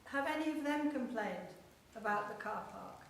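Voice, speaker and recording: A woman's voice: a little high, expressive, normal speed, a little hiss or hum. A woman attendee calling out a question at a church meeting, projecting her voice across the room. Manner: calls out loudly from the room. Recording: echoey room, speaker far off.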